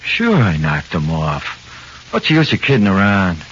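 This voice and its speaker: Scared american convict in an old radio horror show, slangy n pleading, voice jumps all over, faint hiss. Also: male voice, deep voice, exaggerated voice. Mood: bitter, confiding. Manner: slangy, halting, swinging from flat confession to anxious pleading. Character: frightened condemned convict awaiting execution, pleading, uneducated tough. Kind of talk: 1940s radio horror acting. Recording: muffled, hissy 1940s radio recording.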